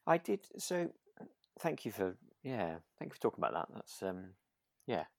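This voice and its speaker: A man co-hosting a relaxed conversational podcast, speaking tentatively in halting phrases. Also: fairly low pitch, vocal fry, no echo, studio quality. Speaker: podcast co-host.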